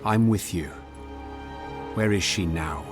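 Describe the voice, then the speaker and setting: An actor playing a stoic, loyal wandering hero in a fantasy game, restrained and serious. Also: male voice, low voice, takes it slowly. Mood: steady concern. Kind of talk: dark fantasy game dialogue acting. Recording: loud music behind the voice. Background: loud music.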